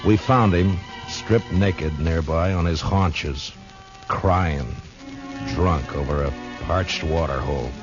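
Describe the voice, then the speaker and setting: An actor playing a tough, weary American US marshal in a 1950s radio western. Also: male voice, very deep voice, takes it slowly. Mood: grim, weary. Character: tough, weary US marshal narrating his frontier tale. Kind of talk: 1950s radio western acting, hard-boiled narration. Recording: dull vintage radio recording with music.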